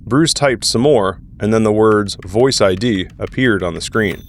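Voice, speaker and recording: A man's voice: on the low side, a little slow, slight background noise. A man reading scripted narration for an audio drama. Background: background music.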